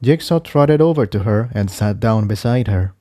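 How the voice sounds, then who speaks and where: A man's voice: fairly low pitch, lively intonation, moderate pace, dark tone, no room sound, clean studio sound. A man reading a scripted adventure story as narrator, in audiobook style. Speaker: fan fiction narrator.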